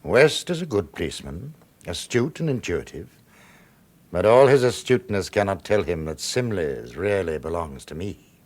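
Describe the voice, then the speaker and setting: An actor playing a suave, menacing criminal mastermind in a radio drama, speaking formally and with measured, silky control. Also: male voice, low voice, darkish tone.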